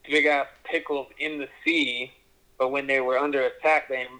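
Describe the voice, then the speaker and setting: A man's voice: medium pitch, little pitch movement, moderate pace, sharp tone, poor audio. A man guest in a casual chat, rambling loosely with plenty of fillers and swearing. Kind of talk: casual chat with an old friend.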